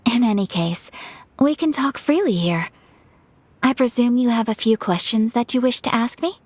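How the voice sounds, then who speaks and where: A woman voicing a refined young noblewoman in a video game, gracious and earnest, with formal, polite phrasing and a soft voice. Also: a little high, normal speed, slight background noise, close to an everyday voice. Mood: courteous, composed. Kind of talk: video game character acting.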